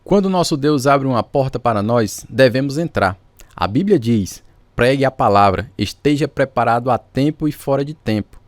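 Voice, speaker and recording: An actor playing a resolute, devout pastor in a radio drama, speaking in a solemn, formal way. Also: male voice, mid-range voice, lively intonation, moderate pace, no echo, close to an everyday voice. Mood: solemn resolve. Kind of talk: scripted radio drama dialogue.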